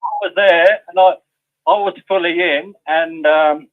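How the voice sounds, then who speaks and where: A man calls in to a talk show and speaks haltingly, often starting his sentences over. His voice is a bit high for a man, and it comes through a thin phone line. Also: steady tone. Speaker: caller. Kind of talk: casual call-in chat.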